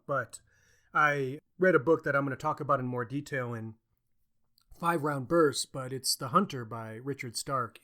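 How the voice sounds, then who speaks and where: A man's voice: mid-range voice, pushed and bright. A man co-hosting a podcast, talking casually about books. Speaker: podcast co-host. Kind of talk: casual book discussion.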